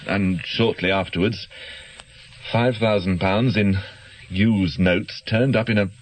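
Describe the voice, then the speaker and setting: An actor playing an anxious, guilt-ridden doctor in a radio drama, speaking in hesitant, defensive, educated phrases. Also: male voice, fairly low pitch, steady tone, takes it slowly, rough recording. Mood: uneasy, confessional. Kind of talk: naturalistic radio drama acting.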